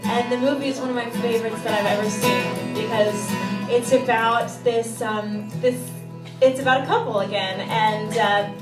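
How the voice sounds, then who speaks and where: A high-voiced woman chats informally from a cabaret stage, joking as she hosts. Her voice echoes through the room, picked up from a distance with audience sound around it. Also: fairly even intonation, normal speed, loud music behind the voice. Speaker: cabaret singer and host. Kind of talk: casual stage banter between songs. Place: cabaret theatre stage, indoors. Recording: live stage recording, distant mic, roomy, audience noise.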